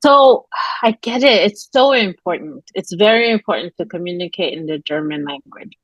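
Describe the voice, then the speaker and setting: A woman's voice: lowish voice, lively intonation, normal speed, no echo, highs cut off. A woman hosting a podcast who asks rambling, casual questions with many fillers. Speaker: podcast host. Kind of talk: podcast interview.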